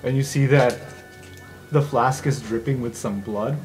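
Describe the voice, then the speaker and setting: A man's voice: mid-range voice, unhurried, a little mellow, faint music behind. A man acting as game master, conversationally describing scenes in an improvised tabletop roleplaying game.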